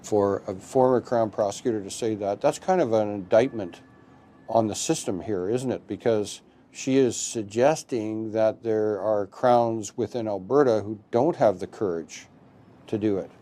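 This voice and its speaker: A man's voice: fairly low pitch, unhurried, mellow. A man giving emphatic, questioning commentary in a documentary interview. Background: music bed.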